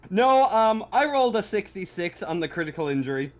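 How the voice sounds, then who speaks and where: A man's voice: high voice, very animated, a little fast. Man running a tabletop game, informal. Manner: informal, sweary. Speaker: game master of a tabletop roleplaying game. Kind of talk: casual game narration and rules talk.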